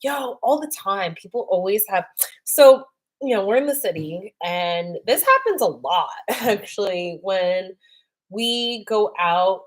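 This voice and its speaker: A young woman casually co-hosting a livestream, chatty and animated, reading out viewer questions and swearing freely. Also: mid-range voice, takes it slowly.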